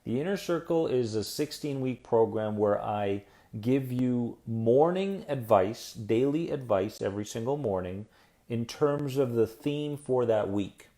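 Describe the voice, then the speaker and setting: A man hosting a live stream as an anxiety coach, upbeat and encouraging as he answers viewer comments, then slow and soothing in a guided visualization. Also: mid-range voice, expressive, warm tone. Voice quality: soothing radio-style voice.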